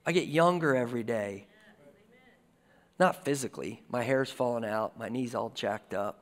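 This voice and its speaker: A man's voice: mid-range voice, normal speed. A man in his forties preaching a sermon from a church stage, in a conversational style. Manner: conversational preaching with lively gestures. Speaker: pastor. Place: church stage with a lectern and guitars. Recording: reverberant church hall.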